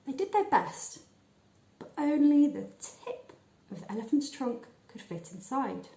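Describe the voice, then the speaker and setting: A woman narrates a short story in a soft, mellow tone. She takes her time, leaving deliberate pauses and shaping phrases expressively. The recording has a noticeable room echo. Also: high voice, big pitch swings, creaky, slight background noise. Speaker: story reader.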